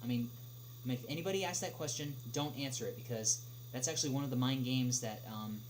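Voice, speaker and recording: A young man hosts a casual livestream, reading questions and answering them off the cuff. His medium-pitched voice moves in hesitant stops and starts, full of filled pauses. A clearly audible noisy hum sits behind him. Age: young adult. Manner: halting, hesitant delivery with frequent long filled pauses. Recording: clearly noisy, humming background.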